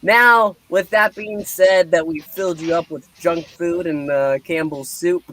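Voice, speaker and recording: A man's voice: on the high side, fairly even intonation, unhurried, pushed and bright. A man hosting a comic show, casually addressing viewers in a sign-off. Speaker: show host.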